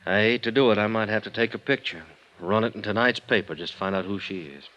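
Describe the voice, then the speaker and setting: An actor playing a blunt, weary but decent police lieutenant in a 1950s radio crime drama, gruff and plain-spoken. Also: male voice, fairly low pitch, fast, pushed and bright, muffled recording. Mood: weary businesslike. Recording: vintage radio drama broadcast.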